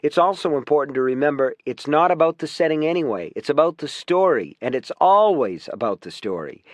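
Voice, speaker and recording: A man's voice: fairly high pitch, dry recording. Man narrating an audiobook, close and dry. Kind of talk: scripted audiobook narration of a writing guide.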